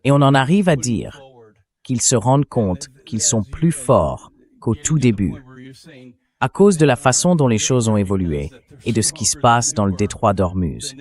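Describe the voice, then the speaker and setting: A man's voice: lowish voice, a little mellow. A man hosting a political interview, speaking as a synthetic French voice-over translation. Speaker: interview show host.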